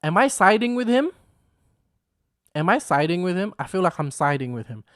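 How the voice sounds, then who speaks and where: A man's voice: sits high, big pitch swings, normal speed, a bit bright. Rambling young man talking to camera. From Philippines. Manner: rambling, repeats himself, frequent "you know what I'm saying". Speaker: vlog host. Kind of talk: casual solo commentary. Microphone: camera microphone.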